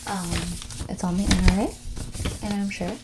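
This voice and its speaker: A woman playing a mean, snobbish rich young woman on a date in an ASMR roleplay, mixing breathy whispers with soft speech in a condescending, catty drawl. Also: mid-range voice, level tone, unhurried, very noisy, lightly acted. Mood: snobby, smug.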